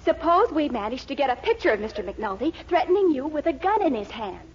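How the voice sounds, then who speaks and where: An actress playing a brisk, confident, scheming young American sweetheart in a 1940s radio comedy, bubbly and coaxing. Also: female voice, sits high, sing-song, a little mellow, slight background noise. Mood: gleeful scheming. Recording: muffled 1940s radio recording.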